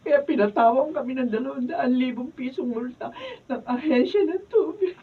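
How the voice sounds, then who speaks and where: A woman's voice: high-pitched, normal speed, slightly rough. A woman acting a desperate, troubled wife and mother in a Filipino radio drama. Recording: distant, phone-like, noisy studio audio.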